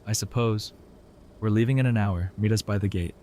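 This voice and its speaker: A man's voice: fairly low pitch, normal speed, darkish tone, lightly acted. A man playing an easygoing, curious survivor in an audio drama, with a casual, wry way of talking.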